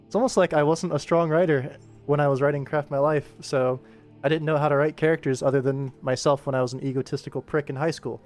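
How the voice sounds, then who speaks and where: A man's voice: fairly high pitch, steady tone, fast talker, darkish tone. A young adult man chatting casually on a livestream while he works, rambling along in a jokey, self-deprecating way. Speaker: animator streaming his work. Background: background music.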